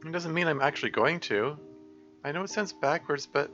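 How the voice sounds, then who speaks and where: A man voicing a gentle, anxious teenage girl, pleading and halting, with a hoarse voice. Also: a little high, highs cut off, soft background music, voice pushed up, natural voice. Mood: earnest.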